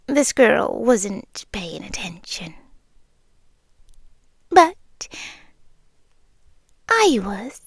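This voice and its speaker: A British woman voicing a possessive, doting female spider monster in an intimate ASMR roleplay, speaking softly, often whispering, with sweet menace. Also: medium pitch, big pitch swings, very slow, vocal fry, long pauses, slightly rough, no echo, clean studio sound, full character voice. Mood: adoring, teasing.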